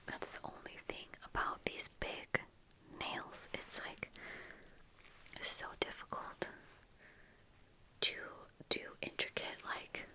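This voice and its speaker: A young woman speaking in a breathy whisper, chatting casually in ASMR style while doing her makeup, close to the microphone. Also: poor audio. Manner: slow, soft-spoken, pausing while applying makeup. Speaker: ASMR video creator. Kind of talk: whispered ASMR get-ready-with-me chat. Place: small indoor room with bookshelves. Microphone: close fluffy-covered desk microphone.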